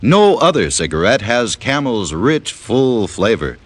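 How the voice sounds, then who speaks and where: A man's voice: on the low side, big pitch swings, unhurried. A man reading scripted 1950s radio advertising as a polished, salesmanlike announcer.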